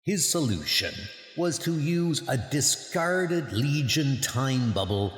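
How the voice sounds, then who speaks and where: Man as comic book narrator: measured, slow scripted read in a middle register. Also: mid-range voice, very animated, reverberant, big-room sound.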